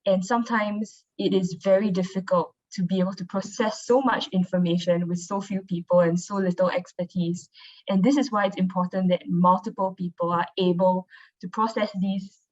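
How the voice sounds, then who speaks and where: Young woman, lowish voice, chatty presenting on a call, kinda muffled lo-fi sound. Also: fairly even intonation, normal speed, a little mellow. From Singapore. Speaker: marine biologist presenting. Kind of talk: informal online presentation. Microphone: video call. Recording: dull, lo-fi online call audio.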